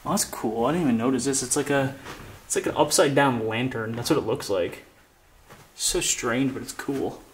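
A young man casually narrating his walk through an abandoned house, chatty and relaxed. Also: mid-range voice, moderate pace, slight background noise. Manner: relaxed, chatty, frequent "like" and laughs. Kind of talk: casual walkthrough vlog. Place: inside an empty abandoned house.

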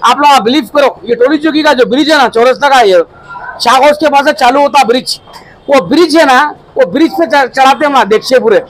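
Young Hyderabadi man, very high voice, hammy over-the-top pitch, crowded small eatery, handheld mics, faint noise. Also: a little fast, low-quality recording. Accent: Hyderabadi Hindi-Urdu. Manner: theatrical, comic, exaggerating, stretched-out words. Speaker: restaurant owner promoting his food court. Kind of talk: energetic promotional speech to news cameras. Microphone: cluster of handheld news mics.

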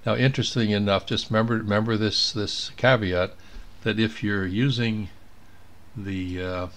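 Low-voiced man giving a casual tutorial. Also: steady tone, normal speed, a bit bright. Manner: thinking aloud while working. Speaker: amateur radio operator demonstrating software. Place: indoors at a computer.

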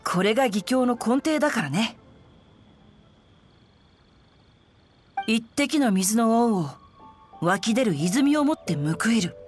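A woman plays a refined teenage boy from an anime game, chivalrous and bookish. The voice is fairly high and melodic, with polite, literary phrasing and a composed manner. Music plays clearly underneath. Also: animated, normal speed, lightly acted. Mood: lofty, composed. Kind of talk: Japanese anime game voice acting.

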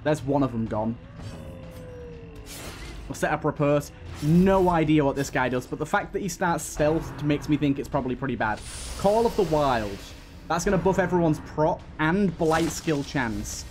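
A man with a slightly high voice talks casually through a video game, reasoning out each tactic aloud as he goes. Music plays behind him. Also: expressive, normal speed, darkish tone. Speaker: gaming channel host. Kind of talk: casual gameplay commentary.

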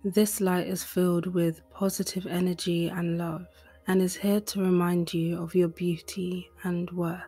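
A woman reading a guided meditation in a soft, breathy, gentle and soothing voice. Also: lowish voice, flat delivery, unhurried. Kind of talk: guided meditation, scripted narration. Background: music.

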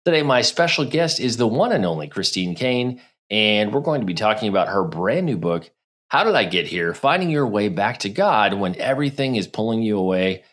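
A man's voice: lowish voice, big pitch swings, moderate pace, a bit bright. A middle-aged man hosting a podcast from his home studio, reading a scripted opening and then asking friendly questions. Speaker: podcast host. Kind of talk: podcast interview. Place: indoors, home studio with neon sign. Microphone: over-ear headset.

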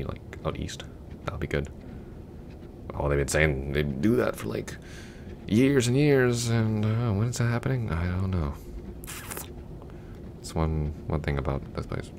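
A man's voice: background noise, full character voice. A young man playing a chatty, goofy, affectionate long-distance boyfriend in a roleplay audio, talking casually in a rambling, hesitant way. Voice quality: very deep, gravelly.

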